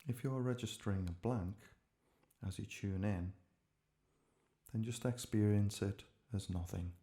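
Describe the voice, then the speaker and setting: A man gently leading a guided relaxation meditation in a calm, measured way, leaving pauses between short phrases. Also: on the low side.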